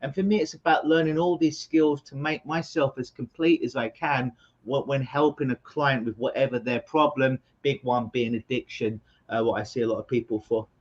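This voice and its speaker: A man's voice: mid-range voice, steady tone, highs cut off. A man from England with a London accent, a hypnotherapist guest talking casually and joking in an interview over a remote call. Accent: London English. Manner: joking, chatty, quick asides.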